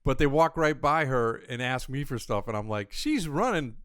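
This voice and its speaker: A man's voice: medium pitch, expressive, normal speed, a bit bright, smooth, no echo. An older man talking casually as a comedian guest on a podcast. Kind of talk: casual conversational chat.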